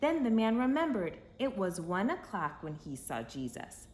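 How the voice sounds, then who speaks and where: A woman reading a children's story aloud in an expressive, dramatic way, with emphatic phrasing for young listeners. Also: medium pitch, moderate pace. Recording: echoey room with faint background noise.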